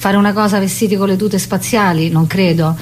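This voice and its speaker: A woman's voice: mid-range voice, fairly even intonation, brisk, slight background noise. Reflective woman in a radio interview. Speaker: interviewed playwright and actress.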